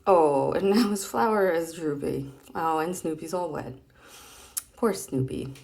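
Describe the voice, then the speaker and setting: A woman with a low-pitched voice gives a casual read-aloud. She is chatty and playful, often hesitating and thinking aloud as she explains. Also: measured pace, gravelly, darkish tone. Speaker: vlogger reading comic strips aloud.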